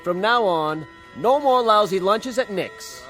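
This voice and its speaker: A brash, urgent general. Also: male voice, sits high, expressive, measured pace. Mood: boastful. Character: blustering man, promoted clerk then urgent general. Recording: radio drama with loud music beneath.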